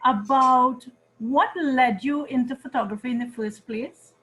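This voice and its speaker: A woman with a Trinidadian accent hosting an interview series, introducing her guest in measured, welcoming words. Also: high voice, fairly bright tone, slight background noise, poor audio. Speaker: interview host. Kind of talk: hosted interview.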